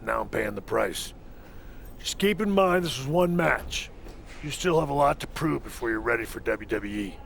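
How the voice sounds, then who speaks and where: Very gravelly veteran wrestler mentor. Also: male voice, mid-range voice, animated, normal speed, fairly bright tone, a little hiss or hum. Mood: wry, grudging respect. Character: proud veteran wrestler, older male mentor. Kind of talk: video game cutscene acting.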